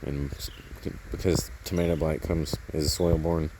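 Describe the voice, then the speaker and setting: A man's voice: very deep voice, little pitch movement, normal speed, noisy background. A man casually narrating hands-on garden work outdoors, rambling and thinking aloud as he goes, recorded on a handheld camera. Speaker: gardening vlogger.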